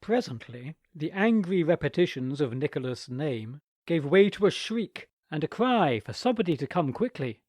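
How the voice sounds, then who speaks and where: A man reading classic humorous stories aloud with expressive, theatrical narration. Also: on the high side, normal speed, few pauses, dry recording. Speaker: reader. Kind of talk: scripted story narration.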